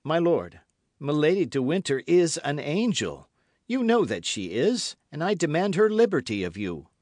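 A man's voice: a little high, sing-song, moderate pace, darkish tone, no room sound, studio quality, pitched above the performer's own voice. A man voicing an impassioned, righteous young officer in a storybook, speaking with formal, insistent earnestness. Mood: fervent. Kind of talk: dramatic audiobook character reading.

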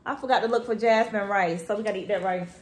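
A woman in her thirties chatting casually to a phone camera in her home kitchen, relaxed and teasing. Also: mid-range voice, fast talker, a little hiss or hum. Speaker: vlogger and mother. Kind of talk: casual home vlog chat. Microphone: phone camera held at arm's length.

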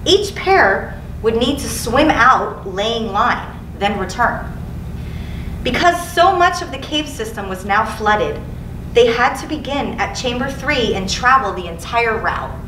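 Higher-voiced woman reading aloud, noisy room. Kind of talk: read-aloud narration from a book. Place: indoor school studio, seated. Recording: roomy, distant mic, clearly noisy.

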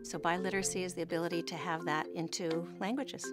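A fairly low-voiced woman speaks in a measured, explanatory way, like an advocate in a documentary interview. Music plays clearly underneath her words. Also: flat delivery. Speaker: education advocate.

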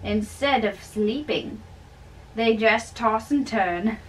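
A woman's voice: on the high side, slow pace. A woman voicing a concerned older priest in a play, emphatic, probing and fatherly. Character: concerned, scolding older priest advising a young man. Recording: distant camera mic with faint room noise.

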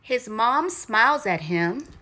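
A woman reads a children's story as narrator. Her voice is fairly high and lively in melody, and she takes it slowly and deliberately, with clear expression.

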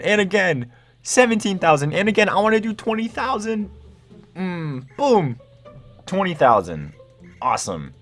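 A young man giving casual gameplay commentary in an excitable, slangy way. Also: mid-range voice. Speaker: gaming video host.

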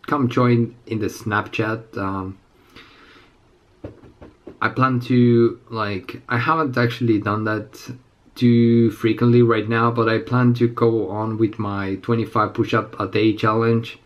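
A man in his twenties with a slightly raspy, under-the-weather voice, chatting casually to camera as a fitness vlogger in a halting, rambling way. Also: mid-range voice, fairly even intonation, muffled recording. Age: 20s. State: slightly sick. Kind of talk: casual unscripted chat to camera. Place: small indoor room with plain walls.

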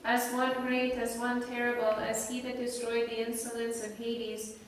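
A woman's fairly high, even voice delivers liturgical prayer with measured solemnity. She is heard from a distance in a large hall, with long, heavy reverberation around every phrase. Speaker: church reader and chanter. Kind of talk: liturgical reading and chanting. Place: large reverberant indoor space. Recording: echoey church-like hall, distant microphone, faint noise.

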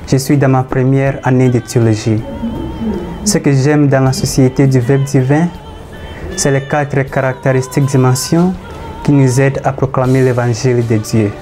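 Earnest young Ghanaian man, mellow tone. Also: mid-range voice, steady tone, normal speed, soft background music. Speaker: seminarian.

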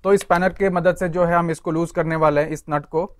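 A man's voice: a little high, steady tone, a little fast, slight background noise. A young man giving a hands-on walkthrough of a tool, explaining each part to viewers he greets as friends. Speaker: tool reviewer.

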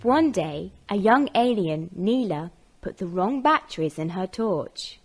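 A woman's voice: mid-range voice, lively intonation, measured pace, a little mellow, slightly rough. A woman with an American accent narrating a scripted children's story.

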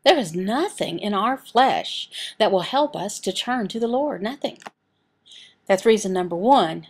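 A woman voicing a signed sermon as an interpreter, speaking deliberately, phrase by phrase with pauses. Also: medium pitch, expressive. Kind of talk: sermon and prayer, voiced from sign language.

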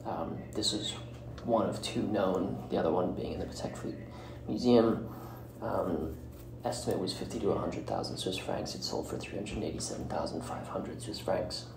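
A man with a very low voice delivers solo podcast commentary. His speech is hesitant and broken into short pieces, with plenty of vocal fry. The recording is roomy and echoing, with clear noise behind him. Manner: halting, hesitant, often breaking phrases mid-way. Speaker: podcast host.

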